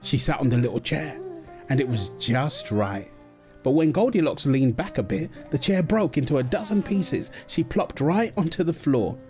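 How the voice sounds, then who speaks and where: A man acting a gentle young father at his baby daughter's bedtime, soft, playful and coaxing in an intimate roleplay style. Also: a little high, expressive, moderate pace, faint music behind, close to an everyday voice. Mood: storytelling. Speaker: audio roleplay performer. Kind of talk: intimate comfort roleplay, storybook reading.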